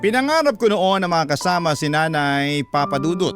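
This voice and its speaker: A man's voice: a little high, sing-song, moderate pace, fairly bright tone. A man narrating a letter in the first person in the style of a classic radio drama. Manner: earnest, storytelling. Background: music.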